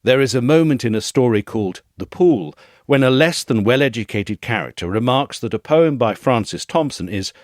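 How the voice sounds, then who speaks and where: A man reads a scripted literary essay in a measured, articulate and expressive way. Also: mid-range voice, no echo, studio quality. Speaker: audio essay reader.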